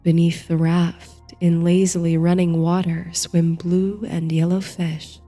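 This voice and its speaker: A woman narrating a guided bedtime meditation in a soothing, gentle, hushed manner, reading from a script. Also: lowish voice, little pitch movement, a little slow. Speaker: host and narrator of a sleep story series. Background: soft music.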